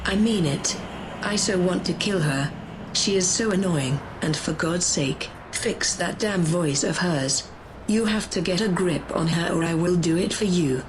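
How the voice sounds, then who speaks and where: A woman's voice: on the low side, measured pace, background noise, close to an everyday voice. A woman playing a bossy, menacing, power-hungry conspirator in a sci-fi audio drama. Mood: irritated, threatening.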